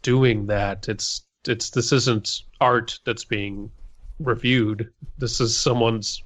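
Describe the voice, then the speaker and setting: A man's voice: mid-range voice, fairly even intonation, slow pace, a bit bright. A man co-hosting a podcast, giving blunt, sweary opinions in casual discussion. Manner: blunt, profane. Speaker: podcast co-host. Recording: slightly dull, lo-fi microphone sound.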